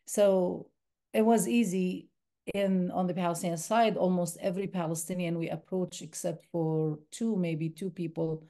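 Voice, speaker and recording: A woman's voice: on the low side, steady tone, normal speed, dark tone. A woman from the West Bank, a documentary filmmaker answering interview questions thoughtfully, often restarting her sentences. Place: university screening room.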